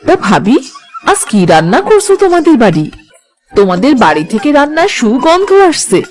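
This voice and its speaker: A woman's voice: on the high side, big pitch swings, brisk. A woman playing a nosy, wheedling village neighbour in a cartoon, with music behind the voice. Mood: curious. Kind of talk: Bengali cartoon dialogue.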